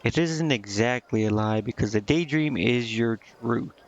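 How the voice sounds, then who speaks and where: A man voicing an earnest, naive female succubus from a video game, musing and halting as he reads her lines. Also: mid-range voice, highs cut off, lightly acted. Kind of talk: reading video game dialogue aloud.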